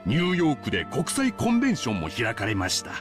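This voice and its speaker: An actor playing a talkative, self-satisfied mystery enthusiast in a Japanese visual novel, explaining with theatrical relish. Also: male voice, medium pitch, huge pitch swings, racing. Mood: relishing explanation. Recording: game audio with clear music behind.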